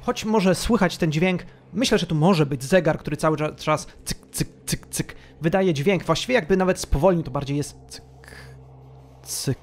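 A woman's voice: deep voice, moderate pace. A woman narrating a tabletop roleplaying game as game master, telling the story in a descriptive, suspenseful way.

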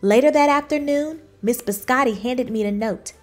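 A woman reading a children's book aloud in expressive storybook narration. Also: sits high, moderate pace, faint music behind. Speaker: children's book reader, channel host.

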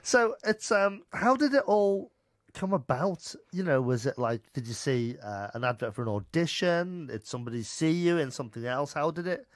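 A man's voice: on the high side, animated, normal speed, no room sound, studio quality. A middle-aged man from Merseyside with a light Scouse accent, presenting a radio show and chatting to a guest with plenty of fillers. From Merseyside, England. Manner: chatty, warm upbeat delivery with many fillers. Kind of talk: radio interview chat. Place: radio studio. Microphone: studio mic, headphones.

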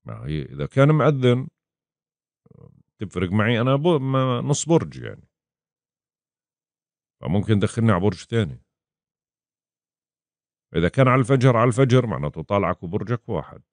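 A man's voice: medium pitch, very animated, brisk, creaky, long pauses, no room sound. A man gives a casual astrology lesson, acting out his clients' questions in quoted voices. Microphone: voice-over over chart screen.

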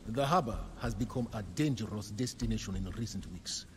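A man playing a composed, formal middle-aged hotel concierge in a video game, speaking in a measured, precise way. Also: fairly low pitch, a little hiss or hum, close to an everyday voice. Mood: grave, composed. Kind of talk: video game dramatic dialogue.

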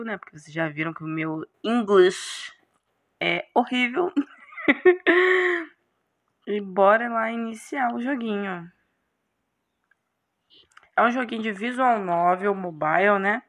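A Brazilian woman hosts a casual game playthrough. Her voice sits at a middle pitch and she takes her time, pausing often between short phrases. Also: gravelly, fairly bright tone. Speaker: gaming channel host. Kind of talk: casual let's play, reading story aloud.

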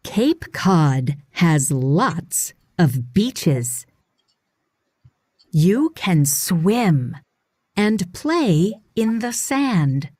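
Woman narrator for children's nonfiction read-along; extremely slow, animated intonation, dry studio sound. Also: fairly low pitch, no echo. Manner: deliberate, expressive phrasing for young readers. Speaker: audiobook narrator.

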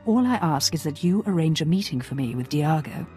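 Haughty, low-voiced seductive villainess. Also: female voice, animated, a little fast, warm tone, faint music behind. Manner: haughty, silky. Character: vain, seductive, haughty female Sith villain. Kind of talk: video game acting.

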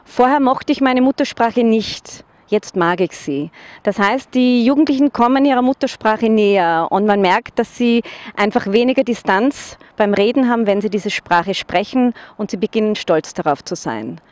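A woman's voice: on the high side, animated, a little mellow, no room sound. Woman, Austrian German accent, middle-aged, teacher, earnest, slight hesitations, outdoor handheld mic. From Vorarlberg, Austria. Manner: earnest, measured, with occasional hesitations. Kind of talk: personal testimonial to camera. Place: outdoors in front of a school building. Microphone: handheld microphone.

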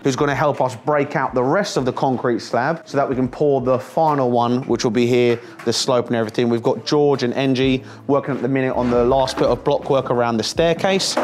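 A man in his thirties talks through his building project in an easy, chatty way. He sounds excited and jokey at a middling pitch. He is recorded on a camera mic in a bare warehouse. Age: 30s. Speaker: vlog host. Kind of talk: casual walkthrough vlog. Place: warehouse under construction, indoors and on roof.

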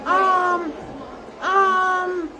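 A woman's voice: very high-pitched, level tone, very slow, crowd noise, background noise, heavily acted. A woman voicing a silly, cheeky, foul-mouthed hand puppet in a playful, exclaiming, sing-song way during an improvised puppet show. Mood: playful, teasing. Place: indoor corner with bookshelves, behind puppets.

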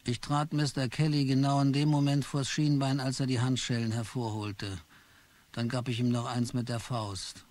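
A weary clerk, as an actor narrates him in a radio drama, telling his story in a dry, wry first-person voice. The voice sits at an ordinary pitch. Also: little pitch movement, moderate pace, a little mellow, no echo, lightly acted. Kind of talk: scripted narration.

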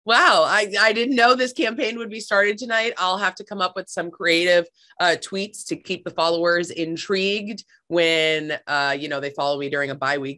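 Bright-voiced young woman on a video call. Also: fairly low pitch. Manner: lively and playful, teasing. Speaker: podcast guest. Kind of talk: casual sports chat. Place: living room at home.

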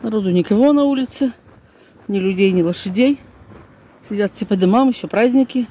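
A woman's voice: medium pitch, a little fast, warm tone, husky. An older woman with a Northern Russian accent, chatting and grumbling colloquially to viewers as she walks along with a phone. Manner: talking while walking. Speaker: vlogger. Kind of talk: casual spoken vlog, talking to viewers. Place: outdoors on snowy residential streets, briefly stairwell and bus. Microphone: handheld phone. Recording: noisy handheld phone recording, street ambience.

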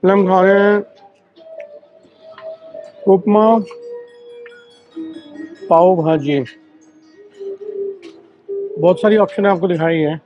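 A man with a high voice chats slowly and easily to his viewers as a travel vlogger. He keeps coming back to a friendly "so, sir" filler. The sound is dull and lo-fi, with faint music playing in the hotel breakfast area. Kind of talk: casual travel vlog chat.

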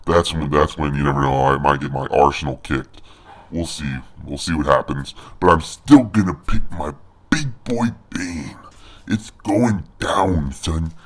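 Man with a deep pitched-down monster voice. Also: unhurried, a bit bright. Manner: joking, boastful, playful trash talk. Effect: pitched-down monster voice filter. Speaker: gamer. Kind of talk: let's play commentary.

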